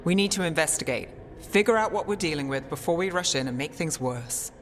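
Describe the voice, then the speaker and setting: A woman voicing a cool, cautious, practical mage investigator in a video game, dry and deliberate in her delivery. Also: sits low, lively intonation, a little hiss or hum. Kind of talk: video game dialogue acting.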